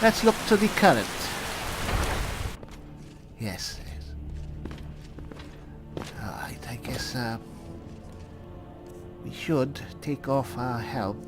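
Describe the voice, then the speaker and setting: A man playing a chatty, pompous adventuring cleric in an improvised fantasy game roleplay, halting and musing theatrically with dry humour. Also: high-pitched, expressive, loud music behind the voice. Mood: solemn, musing, then quiet, musing. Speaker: gamer playing a character.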